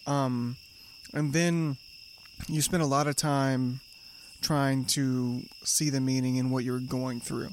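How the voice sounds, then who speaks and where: A man co-hosts a casual podcast, speaking in an easygoing, reflective way. He circles his points with restarts and small fillers, steering the conversation gently. A faint hiss runs under the recording. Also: mid-range voice, flat delivery, takes it slowly. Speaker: podcast co-host.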